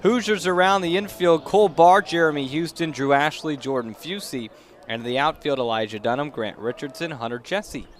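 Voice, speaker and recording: Young man calling baseball, slightly high voice. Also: moderate pace. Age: young adult. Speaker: play-by-play announcer. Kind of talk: live baseball play-by-play commentary. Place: outdoor ballpark during a live game. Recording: noisy outdoor ballpark ambience.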